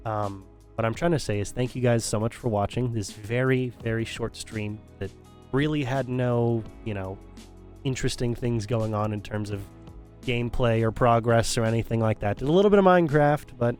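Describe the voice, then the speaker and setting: A man's voice: mid-range voice, moderate pace, darkish tone, faint music behind. A male streamer talking casually to his viewers, rambling with many restarts and fillers, his voice strained and still recovering. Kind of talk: casual stream sign-off talk.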